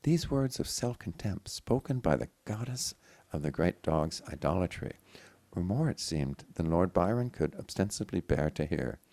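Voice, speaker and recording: Deep, creaky-voiced male storyteller. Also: big pitch swings, normal speed, warm tone, no room sound. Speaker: audiobook reader. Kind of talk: dramatic literary story narration.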